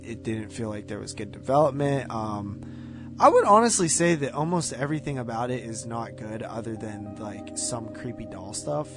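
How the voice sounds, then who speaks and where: A man with a mid-pitched voice in a casual podcast conversation, reviewing films. He talks informally and rambles through his points. Guitar music plays clearly behind him. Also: lively intonation, normal speed. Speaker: podcast co-host. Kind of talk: casual movie review chat.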